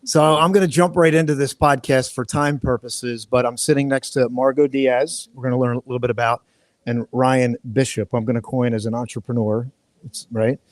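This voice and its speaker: A man's voice: medium pitch, fairly even intonation, moderate pace, no room sound. A man hosting a live-streamed podcast interview outdoors at a resort, talking in a loose, rambling way with frequent restarts. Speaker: podcast host. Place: outdoor resort near waterfalls. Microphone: live-stream setup.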